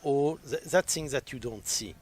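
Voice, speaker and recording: Guy, lots of uhs, formal and halting, bit of hiss behind him. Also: medium pitch. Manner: halting, many uh fillers, formal. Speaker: hotel manager guest. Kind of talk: interview answers. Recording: faint background noise.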